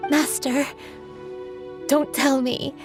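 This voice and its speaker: A woman voicing a gentle, remorseful crane spirit in a video game, earnest and confessional in formal phrasing. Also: high voice, little pitch movement, extremely slow, over music. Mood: shaken, dawning realization. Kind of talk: scripted video game dialogue.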